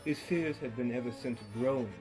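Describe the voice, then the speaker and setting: A man giving a theatrical horror reading as an unhinged male murderer, gloating and feverish, dropping to hushed murmurs and rising to loud outbursts with low chuckles. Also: normal speed, music in the background. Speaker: narrator reading a horror tale. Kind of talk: dramatic storytelling reading.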